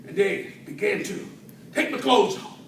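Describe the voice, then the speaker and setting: A man delivering a humorous tall-tale speech to an audience in a theatrical way, with dramatic pauses and swells. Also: high-pitched, fairly bright tone. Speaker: speech contest storyteller at a Toastmasters club. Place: indoor room with an audience. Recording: roomy, distant mic, faint noise.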